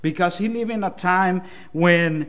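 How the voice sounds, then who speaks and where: A male preacher delivers a sermon in English with a Salvadoran Spanish accent. His voice sits a little high for a man. He speaks plainly and a bit hesitantly, with a self-deprecating way about him. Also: unhurried, a bit bright.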